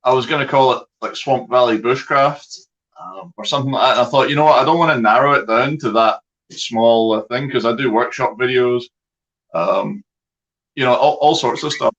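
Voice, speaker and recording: A Scottish man chats as a guest in an easygoing, informal way. His voice sits at a middling pitch, heard through slightly muffled online call audio. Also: normal speed, a bit bright. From Scotland. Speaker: guest, outdoors video creator. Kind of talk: casual chat.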